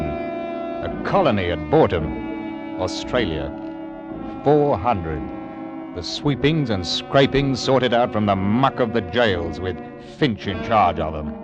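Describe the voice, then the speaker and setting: Gruff convict-ship captain, haunted and irascible, dramatic swings in pitch, vintage radio play, music behind. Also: male voice, lively intonation, slow pace, dull audio. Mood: grim, reflective. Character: embittered, blustering captain of an 18th-century convict ship. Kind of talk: old-time radio drama acting.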